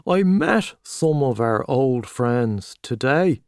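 A man voicing a boastful, hearty Irish journalist, talking loudly and expansively over drinks. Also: mid-range voice, animated, slow, clear, resonant tone, dry recording, clean studio sound. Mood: boisterous. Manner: loud, expansive, back-slapping.

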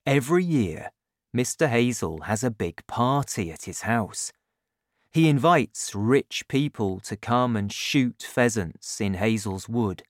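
A father with a lowered, hushed voice, confiding and scheming, as a man reads him aloud. The lines come slowly, with lively shifts in pitch. The sound is dry and close. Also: no room sound. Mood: resentful. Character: warm, scheming father, quietly resentful. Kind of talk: audiobook character voice.

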